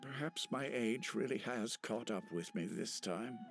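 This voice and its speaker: An actor playing a humble, gentle elderly village chief in a video game, speaking in a halting, wistful manner. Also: male voice, medium pitch, gravelly, faint music behind. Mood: ashamed. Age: older. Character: kindly, humble elderly male village chief with failing eyesight. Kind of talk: video game character acting.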